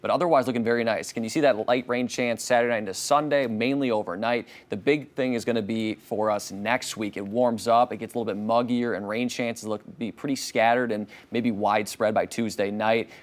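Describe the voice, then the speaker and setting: A young man from Minnesota chats on a morning TV show at a brisk clip. He rambles with self-deprecating asides, his pitch sitting in the middle range. He also gives the weather in the same quick style. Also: steady tone. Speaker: meteorologist and co-host. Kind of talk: casual morning show chat.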